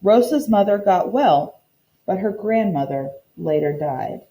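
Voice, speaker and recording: Mellow, expressive woman reading to children. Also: medium pitch, a little slow. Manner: simple, explanatory teacher talk addressed to young children. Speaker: teacher reading a picture book aloud. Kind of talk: children's read-aloud with explanatory commentary.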